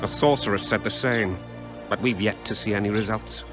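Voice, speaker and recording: A man's voice: lowish voice, steady tone, brisk, over music, close to an everyday voice. An actor voicing an irritable, haughty man of a fantasy port town in a video game. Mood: exasperated, sardonic.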